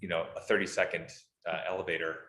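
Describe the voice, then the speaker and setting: A man's voice: sits low, little pitch movement, quick pace, reverberant, crowd noise. A man on an advisory board, giving an easygoing, informal briefing to a city council in a council chamber. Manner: loud, easygoing, self-deprecating. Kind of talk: informal briefing at a public meeting.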